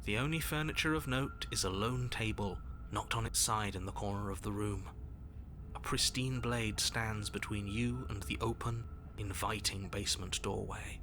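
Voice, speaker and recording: A man's voice: fairly low pitch, noisy background, close to an everyday voice. Man acting a stern, condescending game narrator. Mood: solemn narration. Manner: measured, condescending, exasperated delivery. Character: stern male narrator, condescending, evasive, insistent. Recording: music behind the voice.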